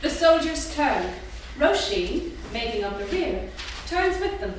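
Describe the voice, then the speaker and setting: A woman's voice: high voice, big pitch swings, unhurried. A woman reading stage directions as scripted narration at a staged reading in a theatre. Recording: echoey theatre from far off, noisy.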